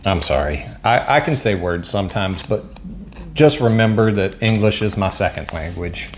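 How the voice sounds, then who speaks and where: Southern man for a casual food-review vlog, easygoing and self-deprecating, slightly low voice. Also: expressive, normal speed, slight background noise. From Southern United States. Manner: rambling, chatty, self-deprecating, frequent um and uh. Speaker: MRE taste-test vlogger. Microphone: mic set up at a table.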